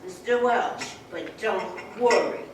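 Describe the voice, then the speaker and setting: A woman playing an anxious, motherly bar woman in a live radio drama, pleading in halting, emphatic phrases. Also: mid-range voice, distant mic, close to an everyday voice. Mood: reassuring. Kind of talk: live old-time radio drama acting. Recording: echoey stage, clattering dishes and noise.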